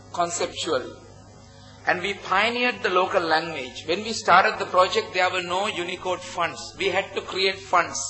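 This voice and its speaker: A man with a Sri Lankan accent giving an earnest conference presentation in short, halting phrases. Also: high voice, sharp tone, rough recording. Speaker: conference presenter, project founder. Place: conference hall at a hotel.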